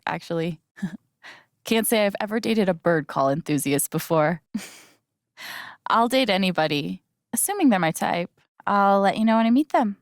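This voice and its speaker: A woman's voice: medium pitch, no echo, studio quality. An actress playing a candid, wry young woman in a video game, speaking in a plain, conversational way. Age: young adult. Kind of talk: naturalistic video game acting.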